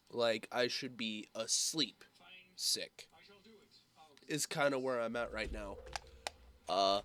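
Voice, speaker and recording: A young man who is sick with a sore throat, talking in a rambling, halting way full of fillers for his casual vlog update. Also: mid-range voice, fairly bright tone. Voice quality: slightly rough, hoarse voice. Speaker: YouTuber.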